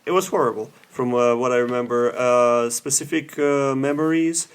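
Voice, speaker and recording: A man, a guest professor from Romania, talking in a measured way and pausing often between phrases in a casual interview. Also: medium pitch, clean tone.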